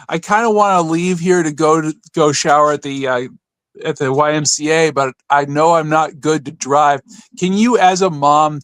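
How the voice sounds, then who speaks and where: A man's voice: a little high, fairly bright tone, dry recording. A man co-hosting a podcast, telling stories in a casual, comic way. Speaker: podcast co-host.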